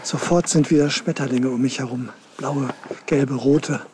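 A man's voice: medium pitch, fairly even intonation, normal speed, music in the background, natural voice. A man playing a rambling, wry lone hiker. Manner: wry, musing, self-talking. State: has a cold. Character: chatty, rambling lone male hiker in the Alps. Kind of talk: inner monologue and dialogue.

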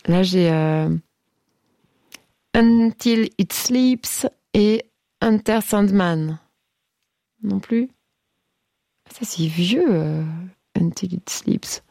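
A woman's voice: mid-range voice, very slow, gravelly, long pauses, dark tone, no echo, clean studio sound. A woman hosting a late-night radio phone-in, chatting casually with a caller and laughing often as she stumbles over song titles. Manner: playful, laughs often, hesitant reading of titles.

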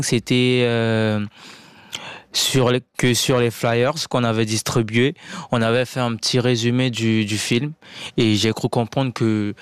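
A young man from the Republic, an actor calmly answering interview questions in simple sentences. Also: mid-range voice, smooth, dry recording. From Central African Republic.

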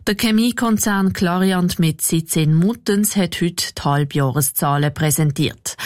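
A woman from the area, reading local radio news in a steady, even way. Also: fairly low pitch, dry recording, clean studio sound. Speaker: newsreader.

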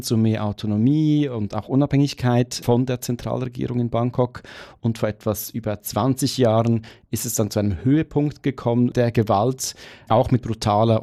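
A man's voice: medium pitch, creaky, dark tone, dry recording, clean studio sound. A man working as a foreign correspondent, explaining events in a radio news interview.

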